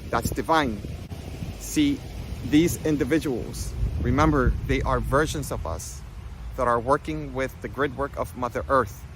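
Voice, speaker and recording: A man giving an informal spiritual talk with personal stories, in an animated, conversational manner. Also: mid-range voice, unhurried, a little hiss or hum, low-quality recording. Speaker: spiritual healing coach and vlogger.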